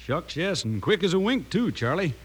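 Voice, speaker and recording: A man playing a folksy, enthusiastic cowboy pitchman with an American Western accent in an old radio commercial. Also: big pitch swings, normal speed, husky.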